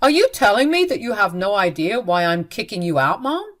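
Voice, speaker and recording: A woman voicing an angry grown son who confronts his mother in a loud, stern and accusing voice. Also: mid-range voice, sing-song, fairly quick, natural voice. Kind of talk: storybook narration.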